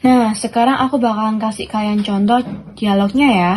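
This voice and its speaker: A woman's voice: on the high side, steady tone, moderate pace, darkish tone, a little hiss or hum. A teenage young woman with an Indonesian accent, co-hosting a student podcast with scripted explanations and chatty links.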